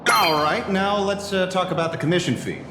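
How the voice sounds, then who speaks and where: A man's voice: high voice, a little fast, roomy sound, in a large hall, very noisy, natural voice. An actor playing a sardonic, theatrical, down-on-his-luck detective in a video game. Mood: nonchalant.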